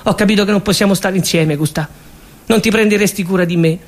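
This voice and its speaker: A man's voice: high voice, fast talker, close to an everyday voice. A man voicing a nagging young woman who lectures and accuses her boyfriend in a stage comedy. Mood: lecturing.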